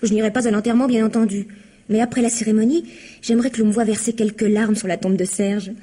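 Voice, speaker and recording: A woman's voice: mid-range voice, steady tone, quick pace, mellow, husky, close to an everyday voice. A woman acting as a calculating young accomplice in a French radio mystery, with composed, pointed delivery. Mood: cool, calculating.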